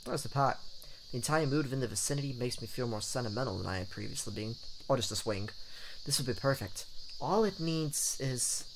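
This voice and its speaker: A man's voice: medium pitch, expressive, brisk, background noise. A man hosting a let's play, reading a visual novel aloud in a playful, theatrical way with jokey asides. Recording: game music behind the voice. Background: game music.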